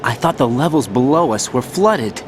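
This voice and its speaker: A man's voice: mid-range voice, expressive, moderate pace, a little hiss or hum, close to an everyday voice. An actor playing a young male soldier in a game cutscene, firing back short, incredulous questions. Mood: questioning. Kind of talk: video game cutscene acting. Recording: loud game score behind.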